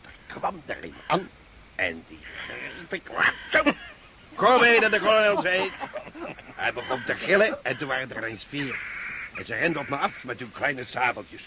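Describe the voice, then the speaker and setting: An actor in a Dutch radio play playing a rough, cheeky Cossack scout who tells boastful tales with feigned innocence. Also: male voice, mid-range voice, sing-song, normal speed, noisy background. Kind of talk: Dutch radio drama acting.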